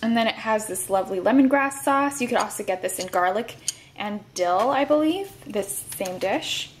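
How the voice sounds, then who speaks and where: A woman giving a relaxed, chatty food review at her kitchen counter, with some fillers. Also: medium pitch, moderate pace, slight background noise. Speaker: food vlogger. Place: home kitchen counter, indoors.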